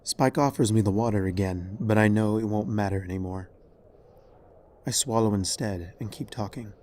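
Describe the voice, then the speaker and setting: A man reading dramatic fiction as narrator in a somber, measured way. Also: on the low side, a little mellow. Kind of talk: dramatic scripted story narration.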